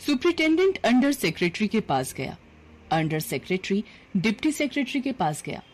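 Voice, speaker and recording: A woman narrating a scripted story in an expressive storytelling manner. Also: medium pitch, normal speed, slight background noise.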